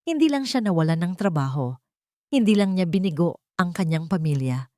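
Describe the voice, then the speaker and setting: A woman narrating a romantic drama in a solemn, measured, theatrical storytelling style. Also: lowish voice, expressive, dark tone, no room sound, clean studio sound.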